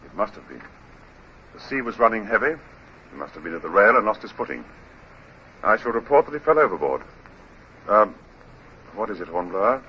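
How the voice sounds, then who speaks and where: An actor playing a grave naval captain in a 1950s radio drama, formal and deliberate in speech. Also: male voice, on the low side, very animated. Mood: solemn, official. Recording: muffled, hissy vintage radio recording.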